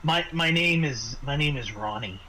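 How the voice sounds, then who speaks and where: A frightened teenager in hiding, acted by a man at a tabletop game. The kid speaks haltingly, repeating and stumbling over words in a hushed, low tone. Faint noise sits behind the voice. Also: expressive, lightly acted. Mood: shy. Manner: halting, stammering.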